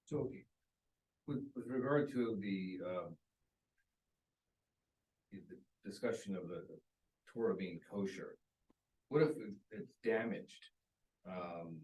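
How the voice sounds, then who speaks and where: A man in a class audience asking questions in a hesitant, halting way, recorded off-mic. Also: lowish voice, a little mellow. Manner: hesitant, halting, many fillers. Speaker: class participant. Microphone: off-mic, far from microphone. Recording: distant, off-mic in a room.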